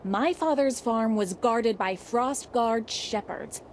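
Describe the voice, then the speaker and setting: An actress playing a proud, self-assured adventuring hero in a fantasy video game, cool and assertive. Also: female voice, a little high, slow, slight background noise.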